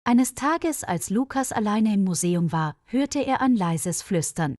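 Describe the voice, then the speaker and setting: A woman's voice: medium pitch, animated, a little slow, darkish tone, no echo. A woman reads a scripted mystery tale as a storyteller. The recording is very dry, with no room sound. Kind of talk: scripted narration of a mystery story for German learners.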